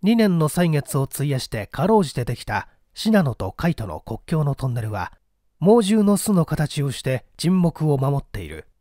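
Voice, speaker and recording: A man's voice: fairly high pitch, very animated, moderate pace, mellow, dry recording, studio quality. A man reading a literary story as a dramatic scripted narration. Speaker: audiobook narrator, stage performer.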